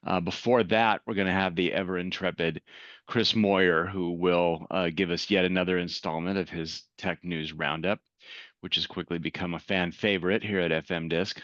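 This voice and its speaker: A man's voice: low voice, steady tone, moderate pace, a bit bright, no echo, muffled recording. A man hosting an online meetup, introducing speakers and making announcements in a casual manner with many fillers. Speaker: meetup host. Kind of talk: event announcements. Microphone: video call.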